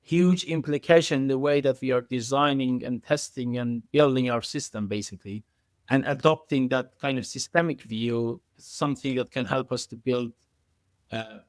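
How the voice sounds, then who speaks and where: A man with a medium-pitched voice gives conversational interview answers as an expert guest. He speaks haltingly, doubling back on words as he explains. The sound is dry, with little room on it. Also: a little mellow, no echo. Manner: halting, repeats words, frequent hedging filler phrases. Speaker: podcast guest, engineering expert.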